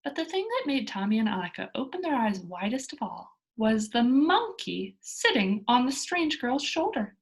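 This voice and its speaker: A woman reading a children's story aloud as narrator, in a deliberate, expressive storytelling manner. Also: on the high side, vocal fry.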